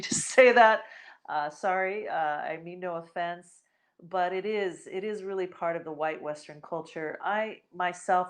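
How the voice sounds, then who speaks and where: Low-voiced woman musing on her podcast. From United States. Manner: reflective, meandering with many fillers and restarts. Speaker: podcast host, shamanic healer. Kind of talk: spontaneous spiritual podcast talk.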